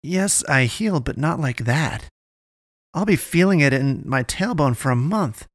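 A woman's voice: deep voice, lively intonation, a little slow, no room sound, clean studio sound, voice pushed up. A man reading a story aloud, voicing a panicky, foul-mouthed young woman who stammers and swears. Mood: puzzled.

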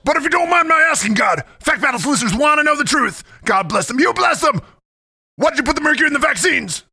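An unhinged male conspiracy radio host rants in a parody talk show. The voice is extremely high and rattles along very fast, frantic and rambling, in a dry close sound. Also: expressive, vocal fry, pushed and bright, no echo, exaggerated voice. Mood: manic ranting.

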